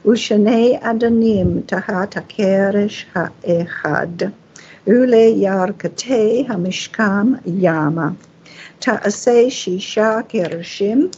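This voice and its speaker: A woman reading scripture aloud as a Torah reader, phrase by phrase in a careful, measured way. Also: medium pitch, vocal fry, darkish tone, breathy edge. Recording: dull, lo-fi sound.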